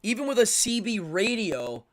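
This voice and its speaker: A man hosting a live stream, talking casually and opinionatedly as he answers chat, rambling and repeating words in quick bursts. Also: high-pitched, big pitch swings, fairly bright tone. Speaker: live-stream show host.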